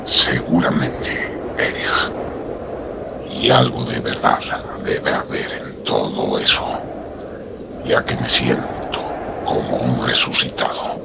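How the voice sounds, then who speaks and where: An actor playing a weary, grave German dictator in a radio drama, with a gravelly, halting, solemn delivery. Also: male voice, extremely low pitch, steady tone, heavy background noise, full character voice. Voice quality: very creaky, gravelly. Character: Hitler, weary middle-aged German dictator, grave and resurrected. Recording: loud music under the voice.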